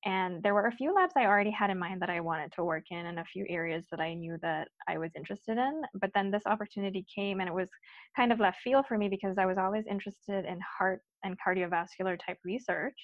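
A woman's voice: mid-range voice, fast, dry recording. Young woman from Guyana, dry dull audio. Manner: earnest, fluent with many fillers. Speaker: guest, recent PhD graduate. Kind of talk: casual podcast interview.

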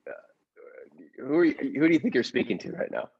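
A man with a husky voice acting in improvised comedy, halting and stammering. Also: medium pitch, huge pitch swings, muffled recording.

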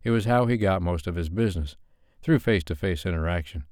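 A man's voice: low voice, fast, darkish tone, no echo, studio quality. A man narrating scripted fiction as an audiobook reader.

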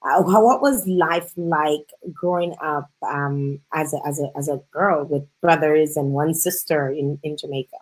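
A woman's voice: low-pitched, a little slow, hardly any pauses, rough recording. A woman hosting a casual interview, talking in an effusive, chatty manner. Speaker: show host.

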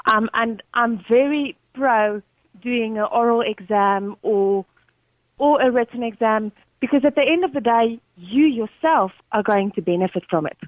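A woman's voice: fairly high pitch, unhurried, no echo. A South African woman with an Afrikaans accent calling a radio show, polite and agreeable with frequent hesitant fillers. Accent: Afrikaans-influenced South African. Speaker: caller. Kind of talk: phone-in call. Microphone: telephone. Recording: muffled phone line.

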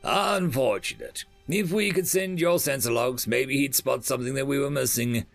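A man's voice: normal speed, vocal fry, soft background music, natural voice. A man voicing a stern male general who questions and commands with authority in an audiobook. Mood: measured, probing. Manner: authoritative, booming when angered. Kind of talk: audiobook character dialogue.

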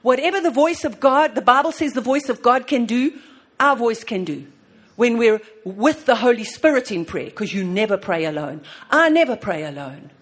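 A woman preaching a sermon on prayer, fervent and full of repeated praise, swinging between soft and loud. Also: on the high side, animated, moderate pace.

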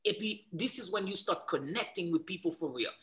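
Bright-voiced Haitian man telling his story. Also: high voice, a little fast. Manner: animated storyteller, many restarts, growing louder as he goes. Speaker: guest. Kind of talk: casual interview, switching between English, Creole and French.

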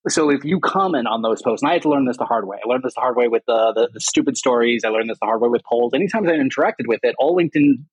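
A man co-hosting a casual podcast, explaining things with halting, repeated words. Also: medium pitch, breathy edge, no room sound, muffled recording. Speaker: podcast co-host. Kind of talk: casual chat and anecdotes.

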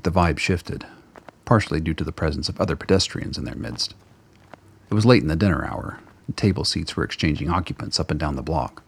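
A man narrates a radio drama in a low, husky voice. His delivery is measured and literary, like scripted fiction read aloud.

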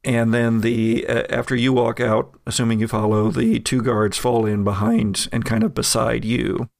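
A man runs a tabletop game, describing rooms and objects in a loose, chatty way. His voice has a gravelly edge and sits at a middle pitch. The recording is dry and close. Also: normal speed, no room sound. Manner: relaxed, chatty descriptions with fillers. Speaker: game master.

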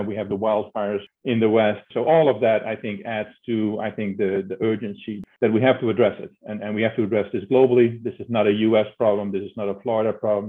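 A middle-aged man from the Netherlands with a Dutch accent, explaining conversationally as an interview guest and repeating words as he builds his points. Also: on the low side. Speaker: interview guest, energy consulting executive. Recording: narrow tinny phone-like line.

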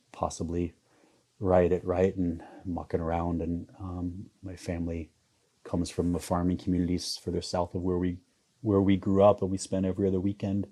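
A man's voice: deep voice, vocal fry, mellow, husky. A man in his forties from the US Midwest, talking reflectively in an interview with many fillers and restarts. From Iowa, US Midwest. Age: 40s. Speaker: photographer guest.

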